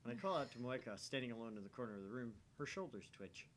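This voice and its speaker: Male gaming host reading story narration, animated intonation, light background noise and rumble. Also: fast talker. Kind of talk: narration read aloud from a visual novel.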